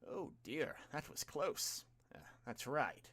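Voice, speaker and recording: A man voicing a courteous, composed mist villain in an audiobook, speaking formally and deliberately. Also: medium pitch, big pitch swings, a bit bright, slightly rough, dry recording, pitched above the performer's own voice, exaggerated voice. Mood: mildly startled.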